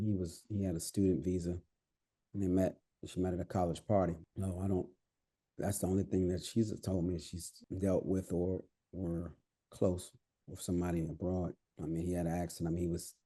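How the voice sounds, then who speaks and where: Deep, dark-voiced husky man. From United States. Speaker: podcast co-host. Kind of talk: casual podcast conversation.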